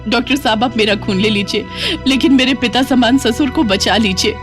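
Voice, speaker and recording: A woman voicing a devoted, selfless young daughter-in-law in an animated moral story, speaking earnestly and pleadingly. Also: a little high, moderate pace, pitched above the performer's own voice, close to an everyday voice. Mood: earnest, resolute. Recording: music playing behind the voice.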